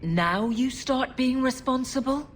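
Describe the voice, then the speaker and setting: A woman playing a stern, dutiful female city guard captain in a video game, speaking in an earnest, firm, measured way. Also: high voice, a little hiss or hum. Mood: exasperated.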